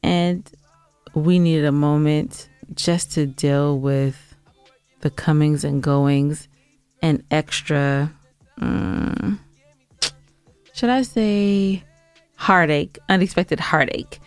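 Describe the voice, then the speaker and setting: A woman's voice: sits low, very slow, pauses often, darkish tone, smooth, dry recording, faint music behind. A woman co-hosting a podcast in casual, playful, teasing chat. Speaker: podcast co-host.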